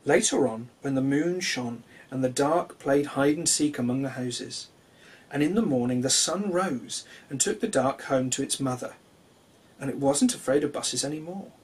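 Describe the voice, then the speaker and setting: A man in his thirties reading children's stories expressively, with deliberate dramatic pauses, recorded in a living room. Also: medium pitch, husky, low-quality recording. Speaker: children's storyteller. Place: small cluttered living room, indoors.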